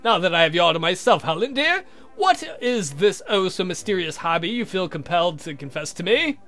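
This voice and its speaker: A man's voice: high-pitched, big pitch swings, brisk, sharp tone, faint music behind, heavily acted. A man voicing a suave, haughty vampire count from a visual novel, speaking in a formal, courtly, pompous way. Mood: smooth, polite. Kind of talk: theatrical visual novel character reading.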